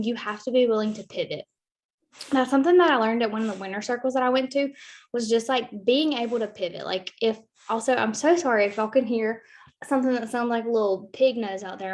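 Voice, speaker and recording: A woman, giving a casual, earnest motivational talk to her team on a video call and leaning heavily on "like.". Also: a little high. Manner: casual, heavy "like" filler, earnest. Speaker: team leader.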